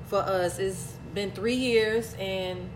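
A woman's voice: mid-range voice, fairly even intonation, takes it slowly, echoey room, background noise. A woman in her late thirties co-hosting a podcast, talking casually and saying "like" a lot. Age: 30s. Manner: chatty, repeats herself, says "like" a lot. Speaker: podcast co-host.

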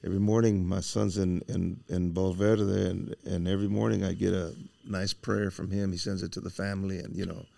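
A man's voice: deep voice, level tone, brisk, gravelly, few pauses, mellow, no echo. A man in his seventies hosting a casual, folksy conversational interview, dropping in Spanish filler words. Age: 70s. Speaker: interview host.

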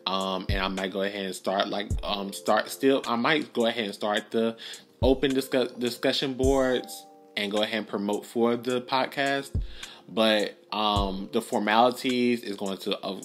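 A young man hosting his own podcast alone, talking earnestly and rambling with many restarts and fillers. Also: lowish voice. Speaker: podcast host. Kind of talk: solo podcast monologue.

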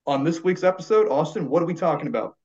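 A man's voice: on the high side, fast. Casual male podcast host, lo-fi sound. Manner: relaxed, rambling with fillers and restarts. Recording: dull lo-fi sound.

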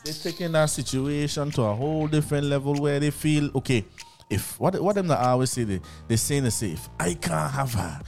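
A man's voice: fairly high pitch, fairly quick, gravelly, darkish tone, soft background music. A man co-hosting a radio talk show, speaking earnestly and often repeating words as he starts a thought.

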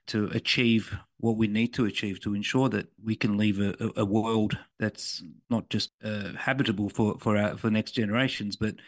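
Low-voiced man co-hosting a podcast. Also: steady tone, normal speed, hardly any pauses, no room sound. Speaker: podcast co-host. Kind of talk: conversational discussion.

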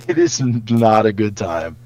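A man's voice: fairly low pitch, sing-song, slow, darkish tone, dry recording. A young man co-hosting a podcast in casual, joking group conversation. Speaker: podcast co-host. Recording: poor podcast audio with playback bleed.

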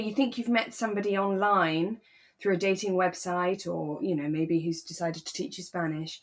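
A woman co-hosting a podcast in relaxed, reflective, conversational chat. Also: lowish voice. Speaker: podcast co-host. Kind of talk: relaxed casual chat about a radio drama.

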